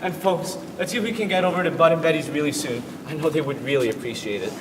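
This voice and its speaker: A man playing an upbeat emcee and eager young boyfriend on stage, announcing quickly to an audience. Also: a little high, lightly acted. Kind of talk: live stage comedy play. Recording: roomy hall from far off, audience noise.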